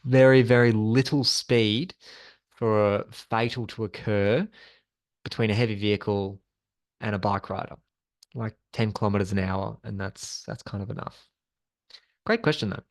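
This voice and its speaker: A man's voice: lowish voice, steady tone, moderate pace, pauses often. A man presenting a slide-based training session as an instructor, explaining things in an informal, conversational way.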